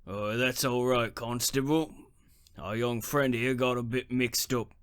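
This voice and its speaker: A man's voice: mid-range voice, fairly even intonation, unhurried, a bit bright, clear, resonant tone, no room sound, clean studio sound, close to an everyday voice. A man playing a plain-spoken old working-class cemetery worker in a radio drama, wry and colloquial. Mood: apologetic.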